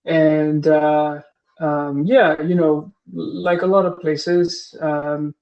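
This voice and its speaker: A man's voice: on the high side, level tone, very slow, dull audio. A man in his thirties, from Singapore, answering interview questions as a guest professor, hesitant, with many fillers and restarts.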